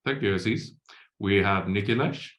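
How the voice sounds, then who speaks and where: A man's voice: lowish voice, normal speed, a bit bright. A man moderating an online university Q&A over a video call, with many hesitations and fillers. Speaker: webinar moderator. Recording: roomy echo.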